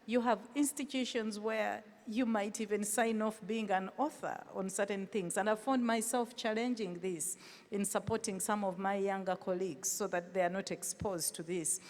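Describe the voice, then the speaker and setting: A woman's voice: medium pitch, fairly even intonation, normal speed, darkish tone. Female panelist, mid voice, earnest, reverberant room. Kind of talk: panel answer. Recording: echoey conference hall.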